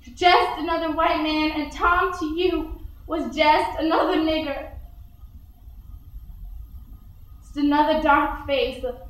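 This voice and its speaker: A young teenage girl performing a stage monologue as a grieving, embittered Southern widow in prayer, deliberate and impassioned. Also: sits high, steady tone, fairly bright tone, voice pushed up. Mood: accusing, grieving. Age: early teens. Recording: very echoey hall performance.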